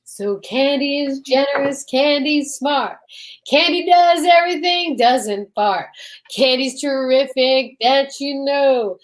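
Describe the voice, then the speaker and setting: An arch announcer for an old-time radio mystery, played by a woman. She speaks in playful, singsong rhymes on the higher side of a female range. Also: slow. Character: arch, rhyming announcer mocking and touting the heroine.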